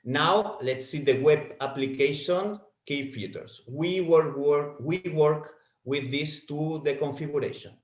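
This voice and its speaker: Slow-talking man presenting in an echoey room. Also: a little high. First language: Spanish. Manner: halting, self-correcting non-native English. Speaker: webinar presenter. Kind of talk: product presentation webinar. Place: home.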